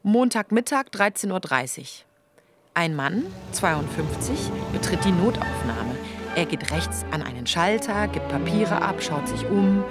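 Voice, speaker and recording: An actress as a detached radio play narrator, reading terse stage directions in a clipped, matter-of-fact way. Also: female voice, lowish voice, expressive, unhurried, loud music behind the voice.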